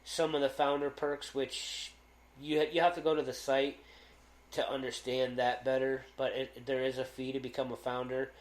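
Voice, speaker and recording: Loud guy rambling on a podcast, lots of ums, roomy echo. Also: mid-range voice, flat delivery, moderate pace. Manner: rambling, with many fillers and restarts. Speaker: podcast co-host. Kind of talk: casual podcast chat.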